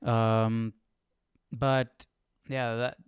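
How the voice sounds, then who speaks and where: A man's voice: medium pitch, level tone, very slow, smooth, no echo, studio quality. A man hosting a live Bible study with casual commentary, reasoning aloud hesitantly and often checking himself with "right?".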